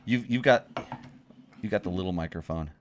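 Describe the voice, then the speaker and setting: A middle-aged man hosting a relaxed conversation, friendly and prone to stumbling restarts. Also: sits low, moderate pace, a little hiss or hum. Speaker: host. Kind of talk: casual chat.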